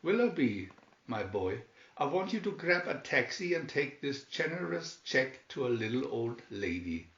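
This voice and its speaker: A man's voice: medium pitch, sing-song, measured pace, a bit bright, distant mic. A man voicing a ruthless older businessman who feigns hearty goodwill in a storybook reading. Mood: falsely hearty. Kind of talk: storybook read-aloud. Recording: dull, roomy home recording.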